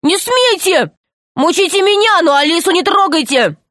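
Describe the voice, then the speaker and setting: A brave, hot-headed storybook boy, put on by a woman narrator, cries out in a high voice. He is blunt and defiant, throwing his words out as exclamations. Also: expressive, normal speed, a bit bright, no room sound, studio quality. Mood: desperate defiance.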